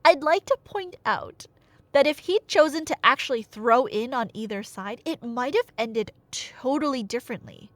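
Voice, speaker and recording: A woman's voice: high voice, lively intonation, moderate pace, no echo. A woman telling a story in a casual, rambling ASMR style, playful and slangy with wry asides. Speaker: storyteller playing the listener's nerdy girlfriend.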